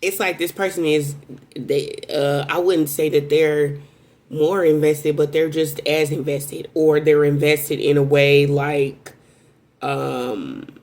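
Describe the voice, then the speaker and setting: Rambling animated woman reading tarot. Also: slow. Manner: rambling, animated, with sudden high exclamations; self-described strong voice. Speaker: tarot reader. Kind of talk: casual spoken tarot reading.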